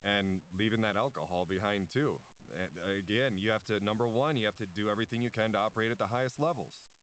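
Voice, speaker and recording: A man's voice: fairly low pitch, fast, fairly bright tone, slight background noise, rough recording. Guy guesting on a podcast, rambly, keeps restarting, laughs a lot. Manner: rambling, repeats words, laughs often. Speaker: spiritual teacher guest. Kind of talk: casual podcast conversation.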